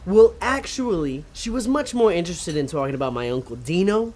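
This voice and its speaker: A man's voice: sits high, animated, a little fast, a little mellow. A man playing an earnest, sentimental demon sidekick in an audio comedy drama, sincere and slightly pedantic. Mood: sincere, sheepish.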